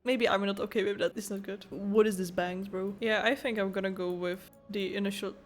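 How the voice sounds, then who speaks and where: A woman's voice: medium pitch, steady tone, a little fast. A woman giving casual, informal commentary as she plays a video game, musing aloud. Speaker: gamer, first-time video creator. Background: faint game music.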